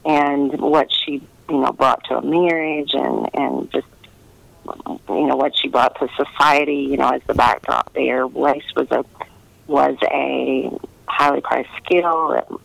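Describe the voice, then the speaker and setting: A middle-aged woman giving earnest, conversational interview answers, pausing often to find her words over a remote line. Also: low voice, gravelly, dry recording, rough recording. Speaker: interviewed historical novelist.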